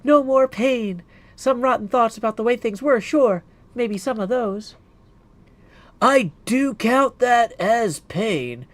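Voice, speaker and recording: A man's voice: very high-pitched, lively intonation, slow pace, no room sound, voice pushed up, heavily acted. A man voicing a laid-back, cheeky young female ghost in a video game, with a casual, flippant delivery. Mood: blunt, dismissive. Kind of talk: video game character acting.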